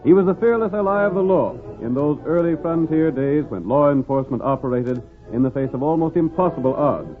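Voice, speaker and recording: A man's voice: a little high, animated, a little fast, hardly any pauses, narrow, tinny audio. An American man narrating a western radio drama in a grand, emphatic, theatrical 1940s announcing style. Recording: scratchy band-limited old radio broadcast with music.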